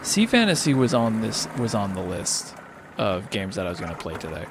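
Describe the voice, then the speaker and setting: Tired guy streaming games, kinda low voice going hoarse, chatting and thinking out loud, bit of noise behind him. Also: sing-song, normal speed. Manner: rambling, thinking aloud. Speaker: livestreamer hosting a charity gaming stream. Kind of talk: casual unscripted game-stream chat.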